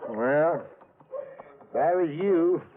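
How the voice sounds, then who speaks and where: Very slow-talking grizzled old western local. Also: male voice, a little high, lively intonation. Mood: folksy, wary. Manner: folksy, slow, cautioning drawl of speech. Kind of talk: 1950s-60s radio drama acting. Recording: thin, phone-like vintage radio recording.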